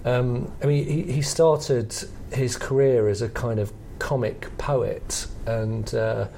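A middle-aged British man with a creaky voice at medium pitch, explaining things conversationally as a podcast co-host. He is reflective and hesitant, feeling his way through each thought. There is faint noise behind him. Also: steady tone, takes it slowly, dark tone. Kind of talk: conversational analysis of comedy history.

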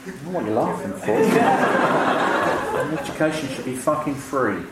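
A man's voice: mid-range voice, expressive, moderate pace. A man in his fifties telling stories informally to a live audience, with a sweary, wry, ranting manner. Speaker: comedian speaking on stage. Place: indoor event before an audience. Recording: echoey live room with clear background noise.

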